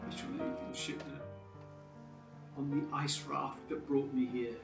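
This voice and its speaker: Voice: mid-range voice, steady tone, measured pace, vocal fry, reverberant, recorded from a distance, over music. An actor playing a tormented, self-loathing monster in a radio play, declaiming in a formal, theatrical, anguished way. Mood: grim resolve.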